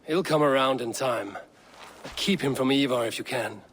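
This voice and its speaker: An actor playing a stoic Viking warrior in a video game, speaking in a terse, direct and dry manner. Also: male voice, mid-range voice, normal speed, noisy background, natural voice. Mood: serious. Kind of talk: video game cutscene acting.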